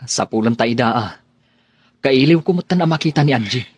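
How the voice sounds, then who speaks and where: A man's voice: mid-range voice, animated, a little fast, darkish tone, slightly rough, dry recording. A man playing an earnest, lovesick young man in a radio drama, questioning and searching for his sweetheart. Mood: longing, worried.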